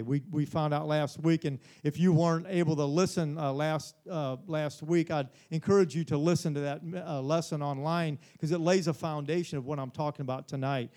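Casting: forties male pastor, high-pitched voice, earnest midweek Bible study preaching, stumbles and repeats himself. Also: mellow. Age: 40s. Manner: earnest, repeats words, frequent "Amen?".

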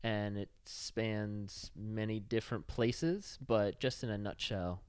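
A man hosting an interview podcast, relaxed, asking open questions and nudging the guest along. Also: lowish voice, slow, clean tone, dry recording. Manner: relaxed, brief encouraging interjections. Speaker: podcast host.

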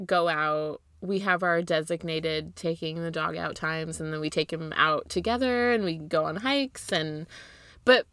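A woman's voice: sits low, unhurried, fairly bright tone, no echo. A woman co-hosting a podcast, chatting casually in an informal, rambling and earnest way. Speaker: podcast co-host. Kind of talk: casual chat.

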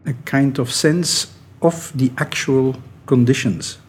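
A middle-aged man, a native Dutch speaker with a Dutch accent, giving thoughtful, halting answers as an academic guest in an interview. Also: medium pitch, slight background noise. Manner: thoughtful, halting, often restarting phrases. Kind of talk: reflective interview talk.

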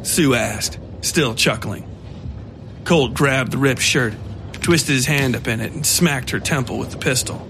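A man giving scripted narration of a dark horror story. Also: mid-range voice, unhurried, creaky, background noise.

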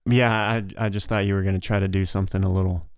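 A man's voice: deep voice, steady tone, racing, darkish tone, clean tone, no room sound, clean studio sound. A man co-hosting a podcast in a casual, conversational style. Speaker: podcast co-host.

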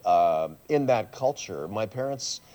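Earnest middle-aged pastor, easy laughter. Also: male voice, lowish voice, unhurried. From USA. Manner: lively, laughs easily, earnest when explaining. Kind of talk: casual interview chat. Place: church coffee shop.

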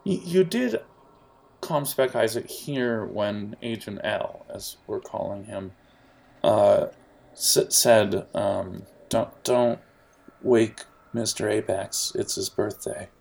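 A young man running a tabletop game as game master, narrating in a relaxed, halting way with many fillers. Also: lowish voice, creaky.

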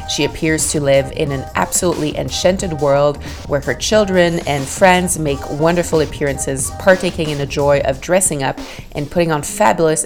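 A woman hosting an interview podcast, reading a scripted introduction and then chatting casually with her guest. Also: low voice. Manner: warm scripted intro, then relaxed conversational. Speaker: podcast host.

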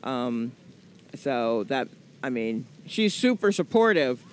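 A woman's voice: extremely low pitch, sing-song, slow pace, a little hiss or hum. Joking woman in her forties. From Atlanta, US South. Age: 40s. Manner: rambling, joking, many fillers. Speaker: comedian guest. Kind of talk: casual interview chat.

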